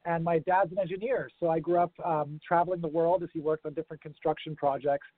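A man with an American-sounding accent explains his field in a thoughtful, unhurried way, with a few fillers. His voice sits a little high. The sound is dry and thin, like a phone line. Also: fairly even intonation, no echo. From grew up around the world. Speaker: guest, urban farming consultant. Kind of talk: remote interview.

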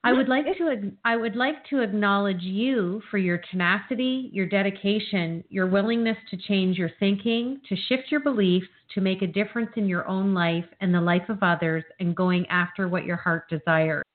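A woman hosting an interview, asking slow, deliberate questions. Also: medium pitch. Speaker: host. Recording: phone-line audio.

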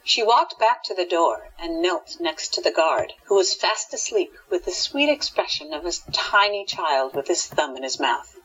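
A woman's voice: lowish voice, very animated, moderate pace, few pauses, a bit bright, breathy edge, highs cut off. A woman reading scripted storytelling narration for an audio drama.

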